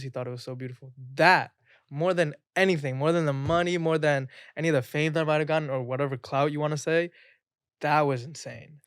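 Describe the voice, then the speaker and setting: Smooth-voiced man, casual podcast guest. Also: a little high, dry recording, studio quality. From Pakistan. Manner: relaxed, enthusiastic, frequent "like" fillers. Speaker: guest artist.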